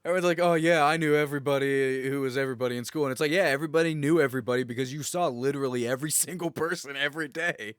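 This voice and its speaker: A man's voice: mid-range voice, racing, hardly any pauses, a bit bright, clear, resonant tone, no room sound. An American man with a mid-range voice co-hosts a podcast. He talks in a casual, bantering way. The recording is close and dry, with hardly any room sound. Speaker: podcast co-host.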